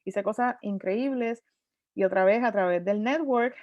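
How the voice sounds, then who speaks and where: A lively woman in her thirties speaks in a mid-range voice. She switches into English terms and often restarts her sentences as she talks. Her voice comes through a dry, dull video call line with no room sound. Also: medium pitch, a little slow, dry recording. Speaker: panelist. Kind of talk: conversational storytelling on a virtual panel.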